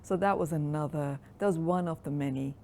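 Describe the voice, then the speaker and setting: A woman in her sixties from Kenya, recalling her life at a relaxed pace in an oral history interview, with frequent pauses and ums. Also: low voice, slight background noise. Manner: reflective, unhurried storytelling with frequent ums.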